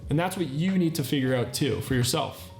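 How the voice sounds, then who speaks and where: A man's voice: a little high, expressive, fairly quick. A man in his thirties with a somewhat raised voice speaks earnestly and explains step by step, stacking up phrases like a list. The room is echoey, and faint music plays underneath. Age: 30s. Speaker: channel host. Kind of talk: self-improvement talk. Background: faint background music.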